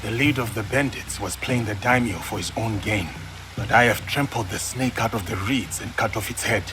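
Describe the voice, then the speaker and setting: An actor playing a humble, resolute warrior student in a game cutscene, formal and respectful. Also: male voice, lowish voice, moderate pace, music in the background, lightly acted. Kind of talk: dramatic game cutscene acting.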